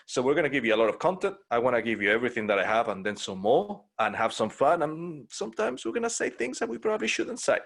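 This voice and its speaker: A middle-aged man from Spain with a Spanish accent, talking in a lively, animated way on a podcast from his home, with many restarts. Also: mid-range voice. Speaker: podcast co-host, property investor. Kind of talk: casual video-call interview. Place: home room by a window. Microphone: studio mic on boom arm.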